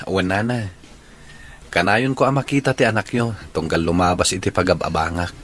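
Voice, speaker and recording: An actor playing a polite, easygoing young suitor in a radio comedy drama, respectful and agreeable. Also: male voice, low-pitched, normal speed, fairly bright tone. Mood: polite, bashful.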